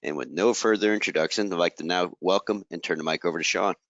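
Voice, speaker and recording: Low voiced host guy reading webinar intro off a script, dry close sound, bit dull. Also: fast talker, fairly bright tone, no echo. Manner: reads smoothly from a prepared script. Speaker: webinar moderator.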